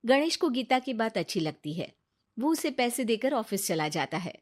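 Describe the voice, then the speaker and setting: A woman narrates a scripted cartoon story. Her voice is somewhat high, and she delivers the tale as a storybook narrator. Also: very animated, moderate pace.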